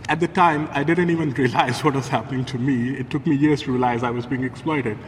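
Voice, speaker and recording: A man's voice: medium pitch, steady tone, fairly quick. A man giving personal testimony as an interviewee. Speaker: interviewee, migrant worker. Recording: noisy, long echoing reverb.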